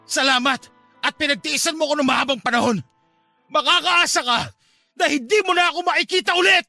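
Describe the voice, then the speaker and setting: A man's voice: very high voice, a little fast, vocal fry, pushed and bright, no room sound, exaggerated voice. A man playing a wounded, defiant young Filipino son with intense emotion in a radio drama. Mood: hurt, resolute. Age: young adult. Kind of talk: Philippine radio drama acting. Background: music.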